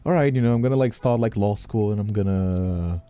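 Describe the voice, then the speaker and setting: Low, mellow young man, halting stream chat. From Brazil. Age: young adult. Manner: halting, repetitive, often checking for agreement. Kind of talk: casual livestream chat. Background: background music.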